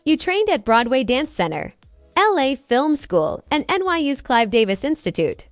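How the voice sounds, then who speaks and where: A woman's voice: high-pitched, sing-song, normal speed, no echo, faint music behind. A woman interviewer asking prepared, formal questions. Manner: formal, reads questions evenly.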